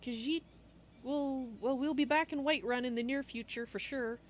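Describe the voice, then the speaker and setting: A woman voicing a warm-hearted Khajiit cat-woman adventurer in a video game roleplay, speaking of herself in the third person. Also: high voice, moderate pace, a little hiss or hum, pitched above the performer's own voice, close to an everyday voice. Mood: affectionate. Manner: refers to self in third person. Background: game rain ambience.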